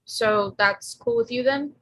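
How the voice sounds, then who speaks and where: A woman playing a wry, quick-witted teenage girl in a table read, with a deadpan, sarcastic delivery. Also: on the high side, no echo, natural voice, low-quality recording. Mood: awkward, wry. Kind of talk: live table read acting.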